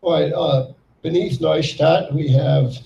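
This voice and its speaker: Gravelly elderly man, halting speech. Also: a little high, dark tone, lo-fi sound, a little hiss or hum. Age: 80s. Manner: halting, with many fillers and restarts. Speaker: Holocaust survivor guest speaker. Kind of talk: reflective public talk. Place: event hall with audience. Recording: echoey hall, mic from a distance.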